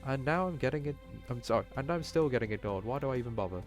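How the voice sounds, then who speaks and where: A man's voice: mid-range voice, lively intonation, quick pace, faint music behind, lightly acted. A man voicing an anxious, self-doubting young cat student who talks fretfully to himself in a visual novel. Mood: wistful, nervous.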